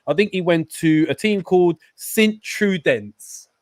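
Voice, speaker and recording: A man hosting a casual football discussion, animated and enthusiastic, turning to his guests with questions. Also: high-pitched, unhurried, no room sound, studio quality. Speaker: show host.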